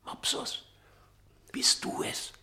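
An actor playing a suspicious, miserly master and father in a German radio play, commanding and brooding, with a gravelly, husky voice. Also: slow, pushed and bright, whispered, faint music behind. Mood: hushed, brooding. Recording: vintage 1960 radio studio recording.

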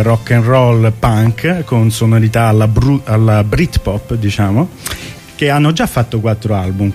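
A man co-hosting a radio show, presenting music in a halting, informal way. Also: on the low side, darkish tone.

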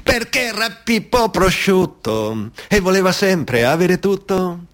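Guy putting on a crooning balladeer, really slow drawn-out chant, higher pitched, teasing, radio theatre. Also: very animated, fairly bright tone, exaggerated voice. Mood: mocking chant. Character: mocking sing-song balladeer chanting a greedy tycoon's refrain.

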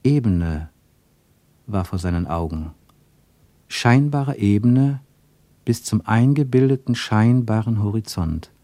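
A man narrating a literary radio play with measured, deliberate phrasing. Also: fairly low pitch, dark tone, no echo.

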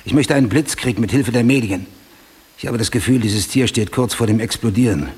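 An actor playing a driven, obsessive homicide detective sergeant in a German radio drama, speaking in a formal, deliberate, insistent way. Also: male voice, medium pitch, darkish tone, breathy edge, lightly acted. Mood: urgent, insistent.

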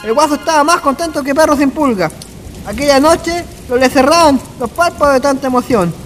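A man's voice: very high-pitched, a little fast, fairly bright tone. An actor playing a folksy rustic man with a rural Chilean accent, telling anecdotes in a radio drama. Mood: storytelling. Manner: folksy, colloquial. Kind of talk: radio drama acting, colloquial storytelling. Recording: long reverb, faint music behind.

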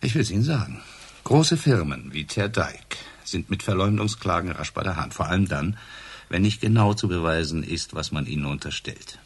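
Casting: boozy police inspector for radio crime play, deep husky voice, sarcastic interrogator. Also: male voice, animated, heavily acted. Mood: sardonic, accusing. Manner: sarcastic, probing, theatrical pauses. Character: hard-drinking, sardonic male police inspector, relentlessly accusing. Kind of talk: German radio crime drama acting.

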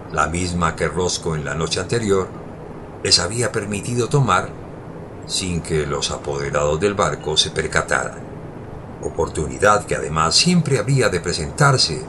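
A man narrating a scripted audio drama in a formal, literary storytelling manner. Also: deep voice. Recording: clear background ambience noise.

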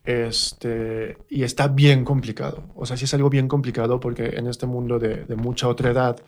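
A man with a Mexican accent and a mid-range voice speaks as an interview guest. His delivery is colloquial and reflective, like someone thinking through ideas in a relaxed chat. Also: medium pitch, moderate pace. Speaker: interview guest, stage actor. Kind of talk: casual interview conversation.

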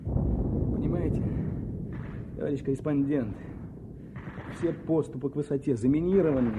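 An actor playing a weary, frustrated front-line officer in a Soviet war radio play, earnest and plain-spoken. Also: male voice, on the high side, warm tone, lightly acted. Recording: noisy battlefield sound effects.

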